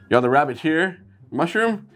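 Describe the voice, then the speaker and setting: A man hosting a casual toy review vlog, chatty and easygoing, with sudden bursts of excitement. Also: a little high, normal speed.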